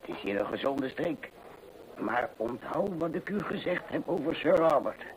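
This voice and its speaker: An actor playing a chatty country innkeeper in an old radio play, nervously apologetic, hesitant and deferential. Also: male voice, a little high, big pitch swings, normal speed. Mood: apologetic, cautious. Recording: noisy old radio recording.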